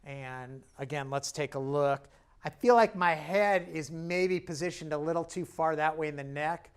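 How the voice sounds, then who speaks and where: Guy demoing a painting, jokey and chatty, talks while he works, bit hoarse, voice a bit high. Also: moderate pace. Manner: chatty, self-deprecating and jokey while working; talks while painting and stepping back from the canvas. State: slightly hoarse, clearing his throat. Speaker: painting instructor. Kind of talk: casual painting demonstration.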